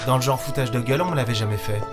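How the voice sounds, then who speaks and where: A man's voice: mid-range voice, rapid-fire, natural voice. Music runs under a male actor as a jaded, sardonic space captain in a science-fiction audio drama. He is blunt and colloquial, wary of everyone, and tells his own story between exchanges. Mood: exasperated. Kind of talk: French sci-fi audio drama, acting and first-person narration.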